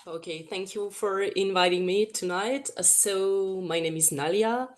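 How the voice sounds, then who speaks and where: A woman's voice: mid-range voice, unhurried. A French woman with a French accent, a singer answering radio interview questions in a hesitant way with many fillers. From France. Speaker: guest singer.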